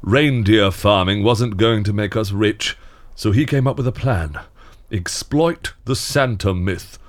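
An actor playing a glum, world-weary theme park Santa in an audio drama, grumbling sardonically and reluctantly. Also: male voice, on the low side, measured pace, natural voice. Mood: bitter, resigned. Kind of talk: scripted audio drama acting.

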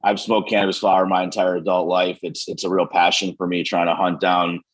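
A man's voice: low voice, flat delivery, fairly quick. An American man answering interview questions conversationally as a company co-founder, hedging often with "you know" and "kind of". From United States, Western New York. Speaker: business co-founder being interviewed.

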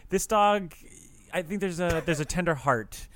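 Halting, discursive male podcast co-host. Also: a little high, expressive, no echo. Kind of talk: casual movie discussion.

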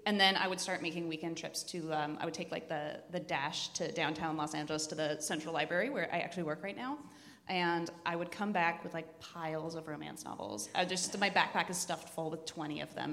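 Woman podcast host, low creaky voice, casual chat, echoey live room. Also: fairly quick, fairly bright tone. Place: indoor hall at a live podcast event. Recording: echoey hall, live event.

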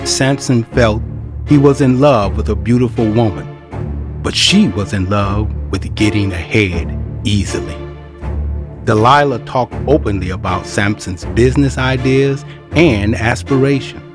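A man narrating an audio drama as a storyteller, with wry, colloquial asides. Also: mid-range voice. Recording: music bed behind narration. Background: music.

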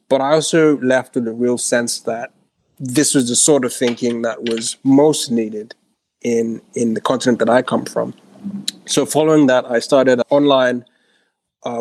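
A man's voice: mid-range voice, vocal fry, a little mellow, no room sound. A man from Ghana giving a reflective, conversational account of himself as a panel guest, choosing his wording with care. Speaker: entrepreneur on a panel.

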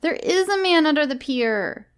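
Woman playing an insistent, high-voiced little girl. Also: measured pace. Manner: earnest, sulky, insistent. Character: small earnest little girl, innocent and insistent.

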